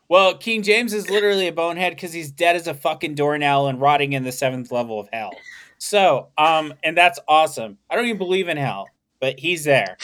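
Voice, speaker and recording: A man's voice: on the high side, fairly bright tone. A young man hosting a casual podcast, rambling and joking with lots of swearing and laughter. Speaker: podcast host. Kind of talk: casual comedic podcast banter.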